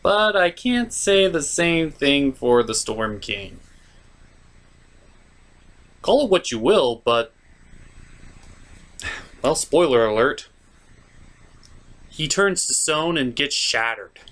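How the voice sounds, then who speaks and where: Male review host, theatrical and emphatic, very animated pitch, scripted commentary with skits. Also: takes it slowly, pauses often.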